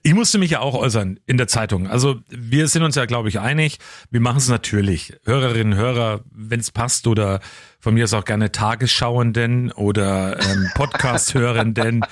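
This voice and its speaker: A man's voice: medium pitch, moderate pace, no echo, clean studio sound. A man co-hosting a casual podcast, emphatic and wry, repeating words to make his point. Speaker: podcast and radio co-host. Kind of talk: casual banter.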